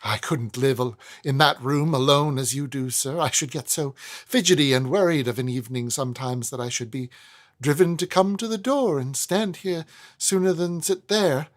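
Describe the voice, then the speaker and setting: A man's voice: mid-range voice, normal speed, natural voice. A man voicing a timid, nervous middle-aged stationer with a fussy, hesitant manner. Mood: anxious.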